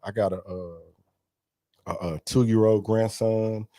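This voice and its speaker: A man in his forties telling stories in a relaxed interview, acting out what people said. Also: on the low side, slow pace, no echo. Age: 40s. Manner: animated storyteller, acts out quotes. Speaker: gospel artist guest. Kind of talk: casual interview storytelling.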